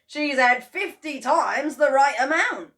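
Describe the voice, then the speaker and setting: A woman voicing a spiteful husband who crows gleefully in a raised, loud voice. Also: high voice, lively intonation, takes it slowly, voice pushed up, heavily acted. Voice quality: gloating, crowing.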